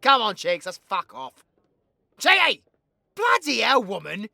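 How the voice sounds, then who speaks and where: A man's voice: high-pitched, huge pitch swings, moderate pace, creaky, pushed and bright, dry recording, clean studio sound, exaggerated voice. A British man playing a cocky, foul-mouthed, brawling supervillain in an audio roleplay, mocking and crude. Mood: crude taunting. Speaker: voice actor.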